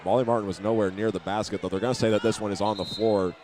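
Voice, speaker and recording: A man doing live play-by-play of a high school basketball game, sometimes restarting his phrases, with the sounds of the game behind him. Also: steady tone, racing, a little mellow, a little hiss or hum. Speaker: play-by-play announcer.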